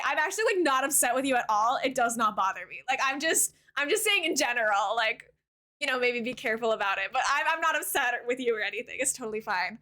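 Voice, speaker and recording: Bright high-voiced chatty woman streamer. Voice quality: high-pitched voice. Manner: chatty, rambling, many "like" fillers; warm toward chat, thanks new followers. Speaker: Twitch streamer. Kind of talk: casual live-stream chat while playing a game.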